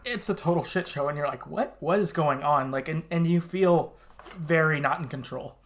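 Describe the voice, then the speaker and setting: A man's voice: on the high side, normal speed. Casual male podcast host. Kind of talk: casual gaming podcast chat.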